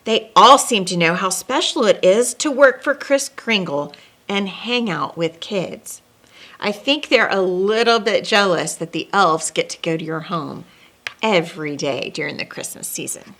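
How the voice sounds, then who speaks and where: A woman hosts a children's read-along at an unhurried pace. Her voice sits at a medium pitch, and she delivers the story expressively, with deliberate pauses and emphasis. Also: fairly bright tone. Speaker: storyteller and host. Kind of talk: children's picture-book read-along with asides.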